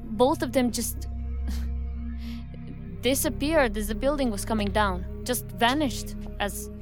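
An actress playing a serious, formal federal agent reporting in a measured, matter-of-fact way in an audio drama. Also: female voice, on the high side, music in the background. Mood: serious, puzzled.

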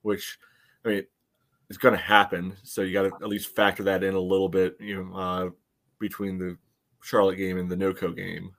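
Lowish voiced guy doing casual sports podcast talk, kinda halting, lots of you knows. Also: flat delivery, gravelly, fairly bright tone. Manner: hesitant, filler-heavy phrasing with frequent "you know". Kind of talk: casual soccer analysis chat.

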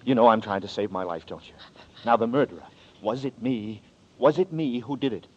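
A man's voice: mid-range voice, a little fast, natural voice. An actor playing a desperate young man who is accused of murder, speaking in a 1940s radio drama in an urgent, pleading way. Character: desperate hunted young man, Danny Nearing. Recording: dull old radio recording.

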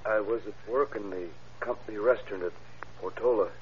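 An actor playing a subdued shooting victim testifying quietly and haltingly in 1930s radio style, with a husky voice. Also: male voice, on the low side, slight background noise, lightly acted. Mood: subdued, grave. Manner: quiet, hesitant. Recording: muffled old radio recording.

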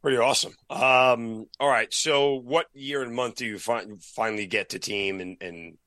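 A man's voice: mid-range voice, steady tone, normal speed, sharp tone, dry recording. A man hosting a casual interview, asking friendly questions with enthusiasm. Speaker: podcast host.

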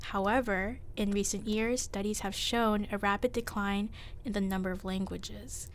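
A woman's voice: medium pitch, fairly even intonation, moderate pace, a little hiss or hum. A young woman hosting a casual student podcast, chatty and often restarting her sentences. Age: young adult. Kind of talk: casual classroom-style podcast chat.

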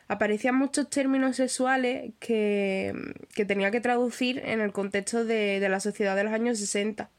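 Woman guest, bright, higher pitch, steady friendly telling. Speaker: translator, guest sending a voice note. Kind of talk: recorded voice message.

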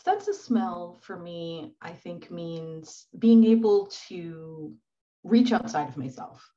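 A woman guest answers interview questions in a thoughtful, searching way, with plenty of fillers. Her voice is somewhat low, and the recording sounds dull and muffled, as if it came over a remote link. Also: big pitch swings, slow, dark tone. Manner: thoughtful, searching, many fillers and small laughs. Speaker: podcast guest. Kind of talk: conversational interview.

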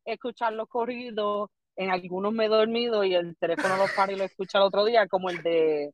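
A woman's voice: medium pitch, steady tone, a little fast, lo-fi sound, noisy background. A young adult woman with a Puerto Rican accent, a comedian guest chatting casually in rambling Spanglish with lots of restarts. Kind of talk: casual podcast chat.

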